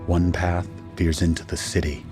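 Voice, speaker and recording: An actor playing a shaken husband and father who narrates his ordeal in a grave, measured, first-person style for an audio drama. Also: deep voice, flat delivery, over music, close to an everyday voice. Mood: grave, tense. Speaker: narrator and main character.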